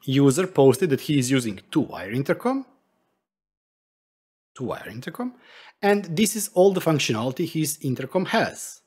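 Slightly husky man, lively explanatory delivery. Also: fairly high pitch. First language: not English. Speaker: tech YouTube host. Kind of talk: tutorial narration to camera.

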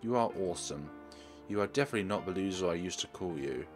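A man voicing a grateful, apologetic teenage schoolgirl in a visual novel, gushing and a little halting. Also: low-pitched, animated, soft background music. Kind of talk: visual novel character reading.

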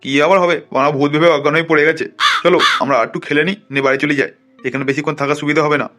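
A man's voice: a little high, steady tone, rapid-fire, fairly bright tone, faint music behind, lightly acted. A man voicing an irritable, coarse widower in a comic cartoon, grumbling and swearing. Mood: annoyed. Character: irritable, crude widowed man sneaking into the woods. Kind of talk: comic cartoon dubbing in colloquial Bengali.

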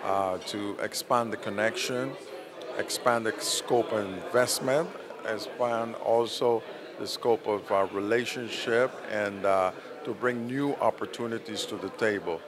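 A man in his fifties, a company CEO, speaking haltingly with many pauses in an event interview. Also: on the low side. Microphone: handheld interviewer mic. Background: crowd chatter.

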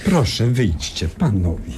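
An actor playing a stern, protective hospital doctor in a radio crime play. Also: male voice, fairly low pitch, sing-song, a little slow. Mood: stern, exasperated.

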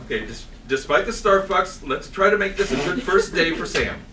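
Guy reading the part of a nervy widower cafe boss, comedy, animated, far from mic with noise. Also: sits high, normal speed. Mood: upbeat. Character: anxious widowed male coffee shop owner. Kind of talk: comedy table read before a live audience. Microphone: far camera. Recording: distant, echoey stage recording with background noise.

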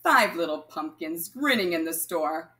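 Female primary teacher, lower-pitched, very unhurried delivery, read-aloud counting lesson for little kids, leaves gaps for answers. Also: animated, pushed and bright. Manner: instructional, addressing young children, pausing for answers. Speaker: primary school math teacher.

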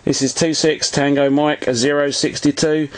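A man's voice: fairly high pitch, level tone, moderate pace. A man making casual radio contact from home, using jargon and often repeating "Roger". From England. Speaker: amateur CB radio operator filming his contacts. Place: indoors at a home radio set. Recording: faintly noisy phone video beside radio.

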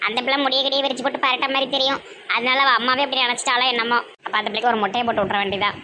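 A woman's voice: on the high side, steady tone, racing, background noise, natural voice. Woman doing a wry, gossipy village neighbour. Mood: sceptical. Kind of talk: comic family skit.

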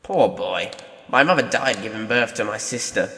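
A man's voice: on the low side, lively intonation, moderate pace, bright, forceful tone. A man playing a grumpy, uneasy old miser in an audio play. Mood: subdued. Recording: echoey, reverberant, noisy audio play mix.

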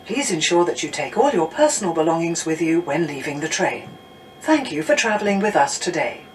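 Woman, British accent, onboard train announcer, lively pitch, echoey distant recording with heavy noise. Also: brisk. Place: inside a train carriage. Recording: noisy train carriage, roomy, distant microphone.

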